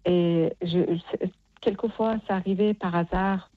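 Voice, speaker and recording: A woman from Iran calling a radio show by phone, earnest and halting, repeating phrases as she goes. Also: fairly low pitch, fairly even intonation, dry recording. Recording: tinny narrow phone line.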